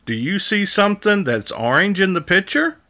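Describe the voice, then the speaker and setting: A man's voice: high voice, expressive, normal speed, sharp tone. A man reading a children's story aloud in a sing-song way, prompting the young listener with questions. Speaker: storybook reader.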